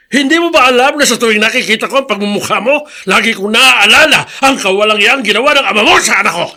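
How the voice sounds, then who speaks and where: An actor playing a bitter, scornful old Filipino grandfather with a raspy, strained voice and explosive temper, in melodramatic radio drama style. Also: extremely high pitch, quick pace, bright, forceful tone, full character voice. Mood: enraged. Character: bitter, vengeful old grandfather, quick to rage.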